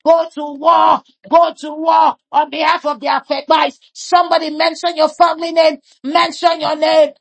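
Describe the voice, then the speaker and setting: A woman leading a fervent prayer, shouting repeated declarations and speaking in tongues. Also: sits high, little pitch movement, normal speed, creaky, few pauses. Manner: chanting, repetitive, declaring. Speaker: prayer leader.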